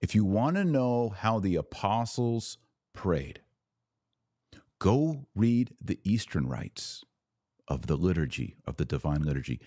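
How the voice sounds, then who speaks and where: Emphatic lower-voiced man lecturing. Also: big pitch swings, measured pace, dark tone, no echo, studio quality. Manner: emphatic, lecturing, repeats points for stress. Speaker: podcast host. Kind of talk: podcast commentary on church liturgy.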